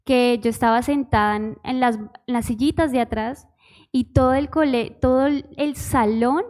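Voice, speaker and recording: A woman's voice: on the high side, fairly even intonation, normal speed, a little mellow. A woman in her twenties with a Bogotá Spanish accent, giving her personal testimony in church in a shy, giggly, hesitant way. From Bogotá, Colombia. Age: 20s. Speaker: church musician, pastor's wife.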